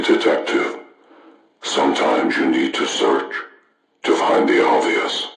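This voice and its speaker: An actor playing a menacing older serial killer mastermind in a horror game, speaking slowly and deliberately in a gravelly, breathy rasp through a distorted tape-like filter. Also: male voice, rumbling low, heavily acted. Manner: slow, deliberate, ominous, taunting. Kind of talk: scripted villain monologue for a horror game. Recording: roomy, distant, radio-like playback.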